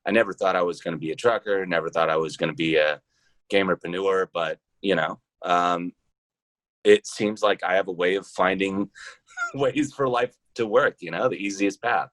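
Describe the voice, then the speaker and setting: Male podcast guest, very deep voice, conversational and hesitant, closely dry recording. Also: normal speed, a bit bright, no echo. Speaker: podcast guest, role-playing show founder and trucker. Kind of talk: casual interview conversation.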